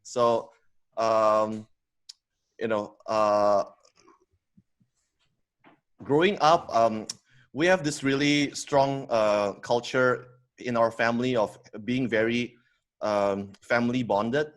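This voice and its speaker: A man in his thirties who grew up in Indonesia speaks as a podcast guest at a middle pitch. He talks in a halting way, full of fillers and restarts, and laughs easily as he tells his story. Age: 30s. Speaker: podcast guest, company co-founder. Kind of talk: casual interview storytelling.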